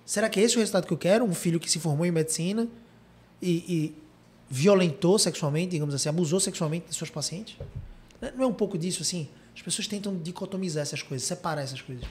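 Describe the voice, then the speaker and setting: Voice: mid-range voice, darkish tone. A podcast host with a Brazilian accent, telling a long example at length and asking rhetorical questions. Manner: rapid storytelling, rhetorical questions. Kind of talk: podcast discussion.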